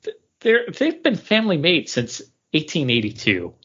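Higher-voiced man in dull-sounding casual chat. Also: wildly swooping pitch, moderate pace, fairly bright tone, slightly rough. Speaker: podcast co-host. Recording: dull lo-fi audio.

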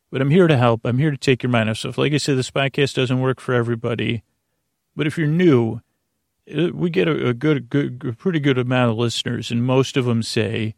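A middle-aged man hosting a bedtime podcast, rambling haltingly through stammered restarts and tangents with gently absurd, self-deprecating humour. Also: mid-range voice, vocal fry, no echo, studio quality. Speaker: podcast host.

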